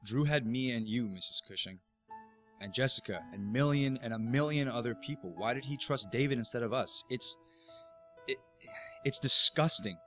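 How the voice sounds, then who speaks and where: A young actor playing a resentful, distrustful teenage schoolboy in a naturalistic web drama. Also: male voice, mid-range voice, normal speed, bright, forceful tone, faint music behind, lightly acted. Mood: hurt, disgusted.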